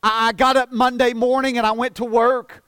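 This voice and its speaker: A man of forty preaching a sermon as pastor, earnest, often repeating and stumbling over words, dropping soft for emphasis. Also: extremely high pitch, flat delivery, moderate pace, smooth, no room sound, studio quality. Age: 40s.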